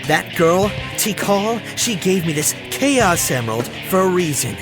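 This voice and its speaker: A young male superhero played by a man in an audio drama, swinging widely in pitch. He is cocky, indignant and quick to argue back. Clear music plays behind him. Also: very animated, heavily acted. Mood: defiant. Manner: indignant, mouthy, emphatic. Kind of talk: amateur audio drama acting.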